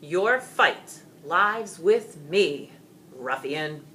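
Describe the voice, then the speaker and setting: A woman's voice: sits low, lively intonation, very slow, fairly bright tone, slight background noise, heavily acted. A woman voicing a bold, defiant male knight in a storybook, declaiming heroically. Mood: challenging.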